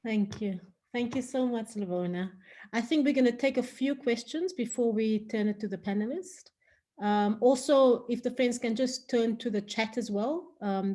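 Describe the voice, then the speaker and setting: A woman's voice: mid-range voice, mellow. A woman moderating an online panel discussion informally, polite and a little tentative as she hands over. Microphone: video call.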